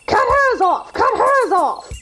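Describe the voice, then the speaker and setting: A shrill, raging Queen of Hearts. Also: very animated, slow pace, slight background noise, heavily acted. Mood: furious. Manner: imperious, shouted threats. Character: furious, bloodthirsty, bellowing Queen of Hearts. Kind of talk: audio play acting.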